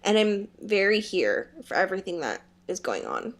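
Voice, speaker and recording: A woman's voice: medium pitch, wildly swooping pitch, normal speed. A young woman co-hosting a podcast, chatting casually and comically about a book in a sassy, emphatic, sweary way. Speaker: podcast co-host. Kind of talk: casual comedic book discussion.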